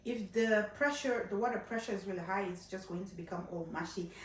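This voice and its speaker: A woman's voice: mid-range voice, fairly quick, echoey room, distant mic, slight background noise. A young woman with a Nigerian accent chatting playfully to viewers while cooking in her home kitchen, laughing often. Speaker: cooking vlogger. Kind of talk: casual cooking tutorial chat.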